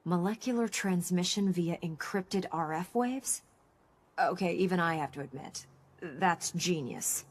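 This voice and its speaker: A woman's voice: lowish voice, a little hiss or hum, natural voice. A woman playing a blunt, wry, confident military engineer in a video game cutscene. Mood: wry, impressed. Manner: blunt, sardonic, businesslike. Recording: game audio under background music.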